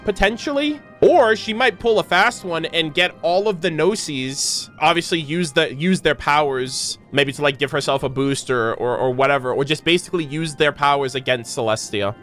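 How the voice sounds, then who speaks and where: Guy with a slightly high voice rambling thru game lore, casual, music playing behind him. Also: normal speed, few pauses, a bit bright. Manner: rambling, thinking aloud. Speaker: video game reaction content creator. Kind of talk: casual off-the-cuff lore commentary. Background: background music.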